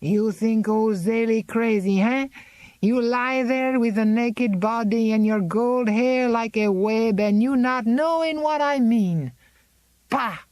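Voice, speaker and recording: A grim, gnarled old servant woman, as a woman reader puts her on, chanting her warnings in a slow, ominous sing-song. She speaks broken English sprinkled with French words, in a raised, dragging voice. The recording is close and dry. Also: a little high, a little mellow, no echo, pitched above the performer's own voice.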